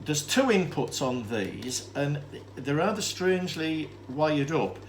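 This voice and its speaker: A man's voice: a little high, slow. Man explaining gear over background noise. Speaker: presenter demonstrating vintage tape recorders. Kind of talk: informal unscripted demonstration, explaining equipment. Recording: clear steady background noise.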